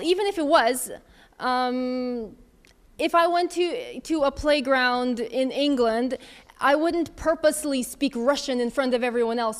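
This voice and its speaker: A woman in her thirties answering audience questions in a candid, conversational way, thinking aloud as she goes. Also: sits high. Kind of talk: casual Q&A talk.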